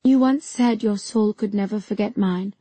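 Desperate loving heroine, read by a lady narrator, earnest pleading, mellow tone, dry audiobook sound. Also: mid-range voice, normal speed, breathy edge, no echo, close to an everyday voice. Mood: tender hope.

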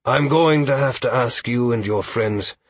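A man's voice: medium pitch, a little slow, breathy edge, no echo. Man voicing an exhausted, grave village leader. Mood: grim. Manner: sighing, grave.

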